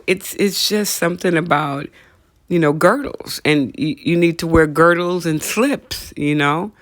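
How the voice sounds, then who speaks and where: A woman's voice: lowish voice, no echo, studio quality. A middle-aged woman hosting a casual comedic talk, conversational and teasing, repeating phrases for emphasis. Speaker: podcast host.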